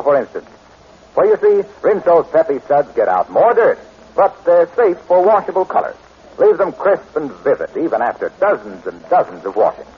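A man performing as a chatty, polished 1940s radio announcer and sponsor pitchman. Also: breathy edge. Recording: dull 1940s radio recording.

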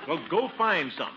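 A man's voice: sits high, full character voice. An actor playing a pompous, flustered middle-aged bachelor uncle in an old radio sitcom, chuckling and hemming as he blusters. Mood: irritated, blustering. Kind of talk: 1950s radio sitcom acting. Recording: muffled, faintly noisy vintage radio broadcast.

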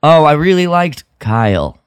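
A man co-hosting a podcast in casual, animated chat, given to comically exaggerated opinions. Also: a little high, normal speed, clear, resonant tone, no room sound. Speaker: podcast co-host. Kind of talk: casual chat about a radio drama.

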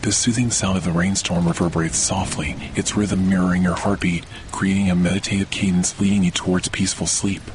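Male meditation host, low register, calm and measured sleep narration, rain and thunder faintly behind. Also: a little hiss or hum. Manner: calm, measured, scripted delivery. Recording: rainstorm and thunder under the voice. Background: steady rain and thunder.

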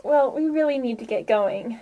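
Woman voicing a female adventuring leader, high voice, casual and sure of herself, tabletop fantasy. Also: moderate pace, dark tone, highs cut off, lightly acted. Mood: dismissive. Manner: offhand, casual. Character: breezy, self-assured female adventurer band leader.

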